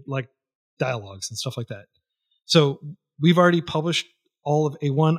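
Rambling man chatting on a podcast. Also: a little high. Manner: rambling, lots of "like" and restarts. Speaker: podcast guest. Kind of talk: casual podcast conversation.

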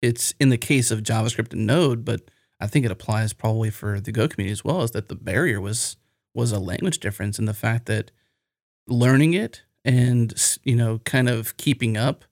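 A man's voice: mid-range voice, normal speed, darkish tone, no echo, clean studio sound. A man hosting a podcast in casual conversation, curious and given to rambling asides. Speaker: podcast host.

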